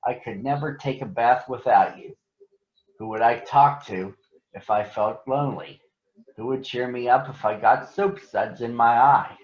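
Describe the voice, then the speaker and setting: A man's voice: medium pitch, a little slow, reverberant, dull audio, close to an everyday voice. Man reading as an earnest childlike storybook boy. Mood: fond.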